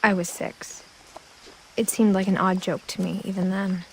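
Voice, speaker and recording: A woman playing a reflective seventeen-year-old girl, quietly narrating a story game in measured first person. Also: medium pitch, level tone, lightly acted, rough recording. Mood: pensive, subdued. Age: teenager. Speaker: narrator protagonist. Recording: clear outdoor nature sounds with insects. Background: ambient insects and nature noise.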